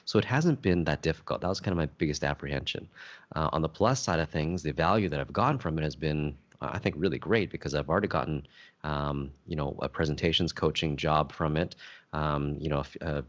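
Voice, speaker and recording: A man's voice: rumbling low, a little fast, dull audio. A man talking casually about his business networking groups, with many fillers and restarts. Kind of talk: casual conversation.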